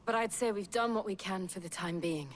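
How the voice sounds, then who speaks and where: A woman's voice: mid-range voice, brisk, a little hiss or hum, close to an everyday voice. Direct, composed female fighter, acted by a woman. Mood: focused, calm. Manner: direct, businesslike, calm. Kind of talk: video game dramatic acting.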